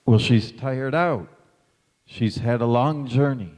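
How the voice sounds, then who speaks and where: A man's voice: medium pitch, takes it slowly, darkish tone, natural voice. A man playing a polite, stern middle-aged father in an old-time radio drama, recorded on stage in an echoey church hall. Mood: kindly.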